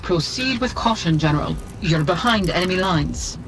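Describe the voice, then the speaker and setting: Woman playing a brisk, animated military officer. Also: lowish voice, noisy background, natural voice. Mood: businesslike. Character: brisk, composed female military field officer giving briefings. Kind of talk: video game mission briefing acting. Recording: game music behind the voice.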